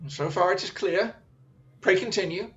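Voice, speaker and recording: An actor playing a shrewd, commanding Victorian English detective in a radio play, incisive, formal and coolly relentless. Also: male voice, sits high, normal speed, a bit bright, dull audio, a little hiss or hum. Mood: probing.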